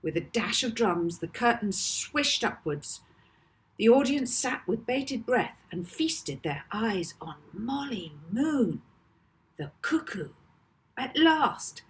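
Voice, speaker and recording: Slow, dramatic woman storyteller. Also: mid-range voice. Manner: measured, theatrical, deliberate emphasis. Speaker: author reading own novel. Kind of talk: dramatic audiobook narration of a children's story.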